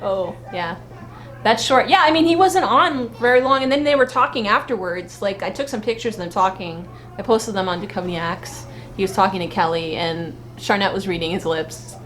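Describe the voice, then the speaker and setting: A woman's voice: medium pitch, moderate pace, a bit bright. A woman co-hosting a fan vlog, chatting casually in a rambling, exclamatory way. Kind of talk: casual live chat recap.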